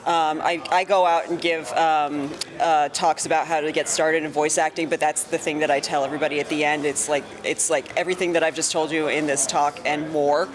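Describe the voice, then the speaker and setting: A woman giving casual interview answers in a halting, enthusiastic way, as a voice actor. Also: low voice, level tone. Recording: busy convention crowd noise. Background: convention crowd chatter.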